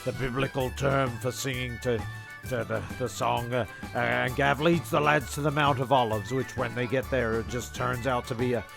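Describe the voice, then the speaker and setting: Creaky-voiced man narrating. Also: mid-range voice, fairly even intonation, moderate pace, music in the background. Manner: rambling, stumbling. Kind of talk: comic story narration.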